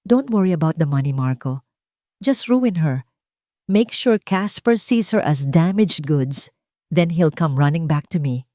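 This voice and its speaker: A woman's voice: deep voice, expressive, unhurried, mellow, dry recording, clean studio sound. A woman voicing a scheming, spiteful rich ex-fiancee in a dramatic audio romance story. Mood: cold, scheming.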